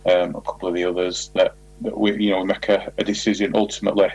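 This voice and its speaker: A man with a very low voice answers interview questions in a measured, explanatory way. His intonation stays flat and even, and the audio is dull and lo-fi, like a radio call. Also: breathy edge, dry recording. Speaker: football manager.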